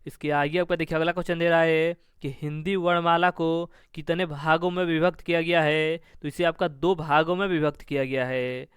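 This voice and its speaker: A man with a smooth, clear and fairly high voice works through exam questions as a teacher. He reads each question and gives the answer in a formulaic way, returning to the same stock phrases. The sound is dry and close, with no room echo. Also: steady tone, normal speed, few pauses. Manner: formulaic, repeats stock phrases between items. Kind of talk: quiz-style lesson, reading questions and answers.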